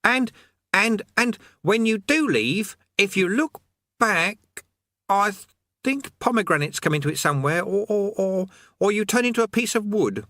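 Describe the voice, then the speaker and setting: A man's voice: high voice, animated, normal speed, sharp tone, no echo, voice pushed up. A man reading an audiobook, voicing an earnest young know-it-all who explains things eagerly and stammers. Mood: flustered.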